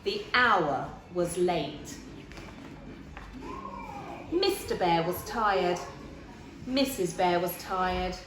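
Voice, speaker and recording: A woman reading a children's storybook aloud in a deliberate, expressive storytelling manner. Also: on the low side, slight background noise. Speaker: story reader. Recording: echoey room.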